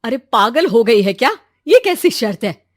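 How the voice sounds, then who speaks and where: A stern middle-aged mother played by a woman storyteller, scolding loudly and emphatically. The voice is fairly high and the recording dry, as in a family storybook. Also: big pitch swings, normal speed, dry recording. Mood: outraged.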